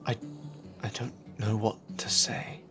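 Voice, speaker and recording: A man's voice: lowish voice, little pitch movement, a little slow, fairly bright tone. A man acting an anxious, impoverished young man who cannot walk, in an audio drama. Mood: troubled, resigned. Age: young adult. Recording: music over the dialogue.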